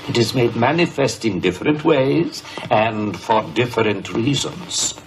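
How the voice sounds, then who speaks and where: A grave, fervent occultist in a radio play. He speaks slowly and portentously, with dramatic swings of pitch and an air of menace. There is faint noise behind the voice. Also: expressive. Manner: slow, portentous, emphatic.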